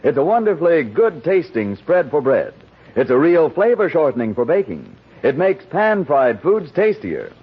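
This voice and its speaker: A man's voice: on the high side, wildly swooping pitch, moderate pace, husky. A man working as a radio announcer, reading a sponsor's advertisement persuasively in 1940s style. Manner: emphatic, persuasive. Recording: muffled 1940s radio recording.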